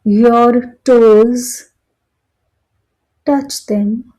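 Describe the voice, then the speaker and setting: A woman guiding a meditation in a calm, soothing manner, speaking in short phrases with long pauses between them. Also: fairly high pitch, rough recording. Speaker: meditation guide. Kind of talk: slow guided meditation narration.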